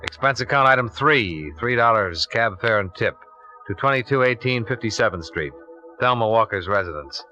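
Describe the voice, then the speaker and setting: Old radio insurance detective, dry n probing, hard forceful man's voice, muffled vintage sound. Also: mid-range voice, lively intonation, normal speed, faint music behind. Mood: brisk narration. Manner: clipped, businesslike, probing questioning. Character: sharp, skeptical male insurance investigator, dry and probing. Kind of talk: 1950s radio detective drama acting, scripted. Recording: dull vintage radio recording.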